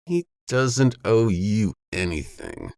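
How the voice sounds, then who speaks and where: Defiant old grandfather, read by a male narrator, curt, stubborn, gravelly, dry close sound, audiobook western. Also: fairly low pitch, big pitch swings, slow, a little mellow, no room sound, voice pushed up, exaggerated voice.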